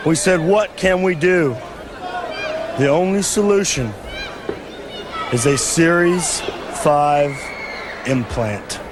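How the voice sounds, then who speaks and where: A man telling a shouted sci-fi wrestling promo slowly, taped from old TV. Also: on the high side. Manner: slow shouted storytelling. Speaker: pro wrestler. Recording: old noisy TV tape with crowd. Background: crowd noise.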